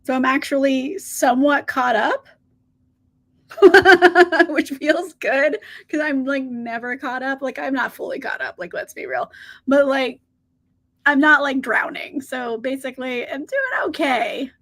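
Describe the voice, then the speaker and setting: A woman's voice: high-pitched, expressive, measured pace, fairly bright tone. A woman hosting a casual livestream, greeting viewers and answering their comments in an upbeat, chatty way with frequent laughs, her voice a little scratchy today. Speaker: book channel host.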